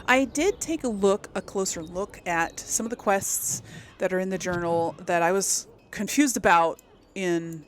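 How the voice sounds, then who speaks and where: A woman's voice: mid-range voice, a little hiss or hum. A woman giving casual let's play commentary as host of a gaming video, chatty and thinking aloud as she goes.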